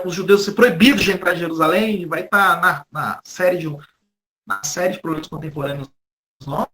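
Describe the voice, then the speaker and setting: Bright-voiced Brazilian man lecturing. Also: sits high. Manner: halting, repeats and rephrases words. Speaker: history professor, guest lecturer. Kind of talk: academic lecture, spoken off the cuff.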